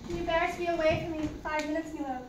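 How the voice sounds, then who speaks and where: A woman's voice: extremely high pitch, steady tone, very fast. A woman playing a blunt, fierce young Irish woman. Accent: put-on Irish. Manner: blunt, bossy. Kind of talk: amateur play reading. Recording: distant roomy recording with background noise.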